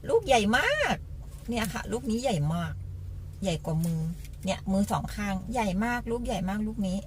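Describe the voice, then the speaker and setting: A woman's voice: medium pitch, fairly quick, slight background noise. A woman casually hosting a food vlog, talking politely and repeating her phrases as she explains. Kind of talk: casual mukbang food presentation with eating.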